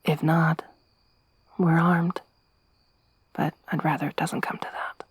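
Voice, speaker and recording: A woman voicing a tough young woman survivor around thirty in an ASMR roleplay, soft-spoken with dry humor. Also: deep voice, little pitch movement, slow, husky, no echo, exaggerated voice. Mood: hushed. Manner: hushed whispering when hiding.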